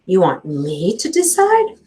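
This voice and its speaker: A woman's voice: on the low side, huge pitch swings, slow, warm tone, full character voice. A woman voicing a naive, dithering teenage village girl in a storybook, hesitant and wavering. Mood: ditzy, undecided.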